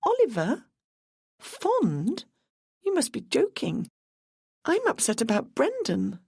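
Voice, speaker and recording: A woman's voice: wildly swooping pitch, slow pace, gravelly, a little mellow, breathy edge, no room sound, studio quality, exaggerated voice. A woman voicing a timid, tearful young woman in her twenties who sounds anxious and gushing. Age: 20s. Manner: high, sniffly, anxious and gushing.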